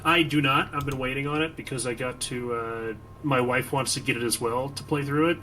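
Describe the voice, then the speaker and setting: A man's voice: mid-range voice, steady tone, a little fast, sharp tone. A man calling in over an online voice chat, talking casually with a playful, joking manner. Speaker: call-in guest. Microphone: voice call over the internet. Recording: noisy online voice-chat call.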